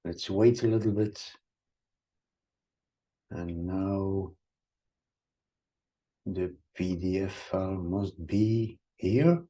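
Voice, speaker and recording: A middle-aged man whose first language is Dutch, hesitantly walking through a screen-recorded software tutorial. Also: low-pitched, drawn-out words, long pauses. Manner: hesitant, many ums and uhs. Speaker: tutorial presenter.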